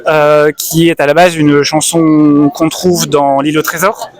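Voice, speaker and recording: A man who illustrates books, chatting at a convention stand in a thoughtful way with frequent pauses and fillers. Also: on the high side, level tone. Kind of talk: casual interview chat. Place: busy convention hall.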